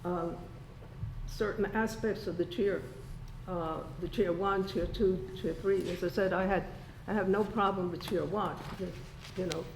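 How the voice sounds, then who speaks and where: A woman speaking as a resident at a town meeting, choosing formal words in a halting way. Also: fairly low pitch, fairly even intonation. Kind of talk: public comment at a meeting. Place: indoor town board meeting room. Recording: echoey meeting room with background noise.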